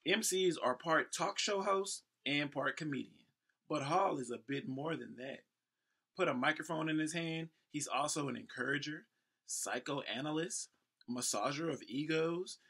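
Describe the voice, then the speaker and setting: A man's voice: fairly high pitch, measured pace. An American man in his thirties from Houston, a host reading aloud with playful, casual asides and ad-libs. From Houston, Texas, United States. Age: 30s. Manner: playful, breaks into ad-libs and shout-outs. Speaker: host and professional event MC.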